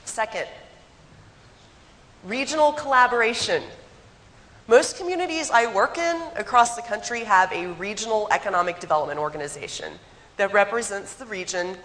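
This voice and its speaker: Hoarse woman presenting in a reverberant hall. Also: medium pitch, fairly bright tone. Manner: direct, poses rhetorical questions to the audience. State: hoarse, getting over a cold. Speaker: economic development consultant presenting. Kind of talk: conference presentation. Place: conference hall.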